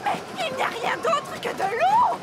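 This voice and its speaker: A woman voicing a brisk, opinionated cartoon lady in a French dub, expressive and theatrical. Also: very high-pitched, heavily acted. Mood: distressed.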